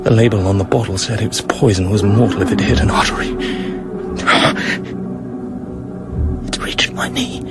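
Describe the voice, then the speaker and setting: An actor playing a suave British secret agent in a radio drama, with clipped, terse delivery. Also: male voice, fairly low pitch, steady tone, quick pace, natural voice. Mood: hushed, tense. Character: suave British male secret agent narrating his own danger. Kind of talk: radio drama acting, terse first-person narration. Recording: music behind the voice.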